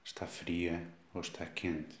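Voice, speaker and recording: A man with a European Portuguese accent guides a body scan meditation, giving slow, calm instructions with long pauses. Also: sits low. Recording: echoey, reverberant room sound.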